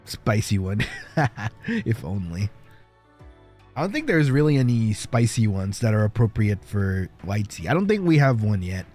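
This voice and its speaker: A man livestreaming in a playful, casual way, chatting and reading stories aloud with a husky voice. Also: fairly low pitch, sing-song, moderate pace, darkish tone. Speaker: livestreamer, virtual streamer. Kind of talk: casual livestream chat and fan fiction reading. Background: background music.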